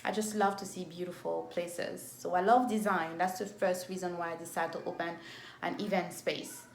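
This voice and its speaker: Woman, 30s, Cameroonian French accent, talks slow and careful to camera, roomy phone sound with a faint hiss. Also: on the low side, steady tone, gravelly. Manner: speaks slowly and deliberately, with restarts. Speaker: event planner and entrepreneur. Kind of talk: casual vlog talk to camera. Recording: echoey room on a phone.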